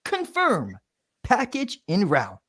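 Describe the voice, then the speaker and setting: Man doing a flat monotone ordering machine. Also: high voice, slow, breathy edge, voice pushed up, full character voice. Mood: robotic.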